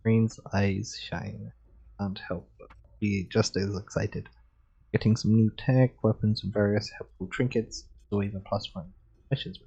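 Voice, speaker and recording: A man reads a visual novel aloud on a livestream in a relaxed way. His voice is mellow and husky, and the microphone sound is slightly muffled. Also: fairly low pitch, measured pace. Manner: relaxed, chilled. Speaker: game streamer.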